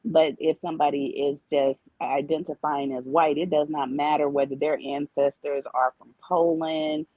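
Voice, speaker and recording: Husky woman explaining at length. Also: low-pitched, flat delivery, moderate pace, few pauses, no echo, lo-fi sound. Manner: explains at length, repeats points. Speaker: guest. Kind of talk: earnest casual discussion.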